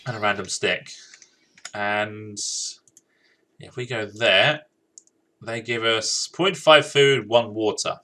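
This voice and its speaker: A man's voice: lowish voice, takes it slowly, pauses often, pushed and bright. A man doing casual, jokey gaming commentary, talking to himself and reading game dialogue aloud. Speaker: gaming streamer.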